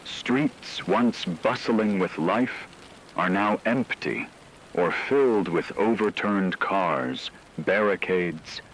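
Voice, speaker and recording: A man reading a scripted emergency radio broadcast in a solemn, ominous, measured way. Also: sits low, a bit bright, a little hiss or hum, rough recording. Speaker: radio announcer.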